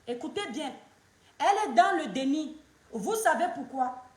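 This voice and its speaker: A woman in her thirties comments online with a high, pressing voice. She lectures emphatically and drives her points home by repeating them. The room around her sounds echoey. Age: 30s. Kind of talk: opinionated social media commentary.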